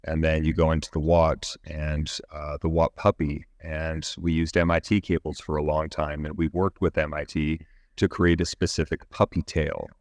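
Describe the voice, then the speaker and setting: A man giving technical, explanatory interview answers as a company executive, measured and often halting mid-phrase. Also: a bass voice, little pitch movement, no echo, clean studio sound. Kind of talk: explanatory interview answers about product engineering.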